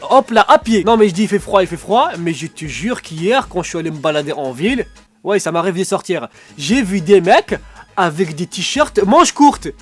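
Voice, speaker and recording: A man with a stuffy nose doing a rambling comic vlog from under a duvet at home, acting out little dialogues. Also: high voice. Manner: joking, rambling, acts out dialogues. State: stuffy nose, sore throat. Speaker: vlogger.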